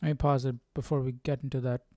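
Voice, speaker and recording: A man hosting a casual Bible study livestream, haltingly thinking aloud as he comments. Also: mid-range voice, level tone, dark tone, no room sound.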